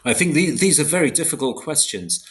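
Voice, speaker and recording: A man's voice: on the high side, animated, brisk. A man explaining concepts as an academic interview guest, in a precise but hesitant way, often correcting himself. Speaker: philosopher guest, book author.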